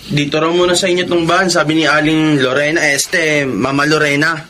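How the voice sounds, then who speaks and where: A man's voice: a little high, fairly even intonation, a little fast, bright, forceful tone, slight background noise. A man playing a cheeky, teasing, gossipy son in a Filipino radio drama. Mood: casual. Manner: teasing, chatty.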